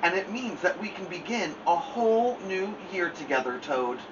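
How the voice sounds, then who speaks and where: A man voicing a cheerful, eager young male frog in a storybook, calling out brightly. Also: moderate pace, muffled recording. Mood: coaxing. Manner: high excited calling. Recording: distant mic with background noise.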